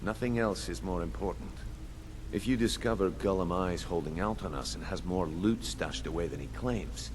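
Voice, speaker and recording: A man playing a gruff, businesslike thieves' guild member in a scripted fantasy video game. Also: sits low, normal speed, natural voice. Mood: stern, instructive. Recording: clear background ambience noise.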